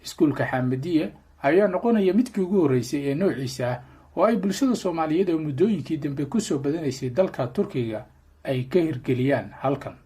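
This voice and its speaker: Husky voice reading a news report. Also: medium pitch, animated, dark tone. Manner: measured, formal. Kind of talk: scripted news narration.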